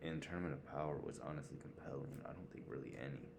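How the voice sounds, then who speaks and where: Very deep-voiced blunt man over faint noise. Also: steady tone, fast, vocal fry, a little mellow. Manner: blunt, slangy, profane. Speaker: podcast co-host. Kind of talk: casual banter, opinionated ranking.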